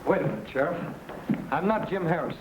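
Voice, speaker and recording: A man's voice: fairly high pitch, lively intonation, brisk, lightly acted. An actor playing a hard, insistent young man in an old-time radio western, talking in a terse, plain way. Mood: protesting, earnest. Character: young outlaw and his twin brother, hard and insistent. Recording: noisy old radio recording.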